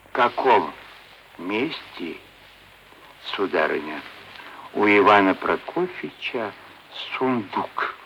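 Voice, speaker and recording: Casting: unctuous middle-aged schemer, pompous and coaxing, slow and swooping, classic radio drama. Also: male voice, low voice, creaky, heavily acted. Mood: sly, probing. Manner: unctuous, pompous, deliberate officialese with coaxing diminutives. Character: oily scheming merchant's son-in-law, pompous, wheedling, middle-aged official. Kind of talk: theatrical classic Russian stage acting. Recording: dull vintage radio recording, faint hiss.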